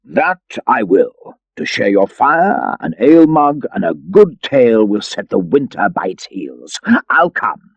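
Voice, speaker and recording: A man's voice: medium pitch, big pitch swings, a little slow, gravelly, breathy edge, no room sound, lo-fi sound, pitched above the performer's own voice, full character voice. A man voicing a merry fat friar in a storybook, with hearty, old-fashioned turns of speech. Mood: jovial. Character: merry fat friar, fond of food and fighting.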